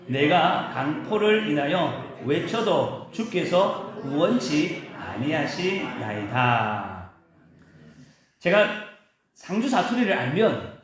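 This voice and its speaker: A male pastor giving a sermon in a church, preaching with emphatic rises and drawn-out stresses. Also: fairly high pitch. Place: church sanctuary. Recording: very echoey church hall.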